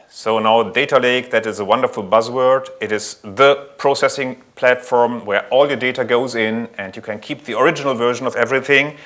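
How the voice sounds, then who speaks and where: A man from Germany with a German accent, presenting a technical conference talk in an earnest, explanatory way, pausing often to correct himself. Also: medium pitch, a bit bright. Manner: earnest, explanatory, with frequent ums and self-corrections. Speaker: conference speaker.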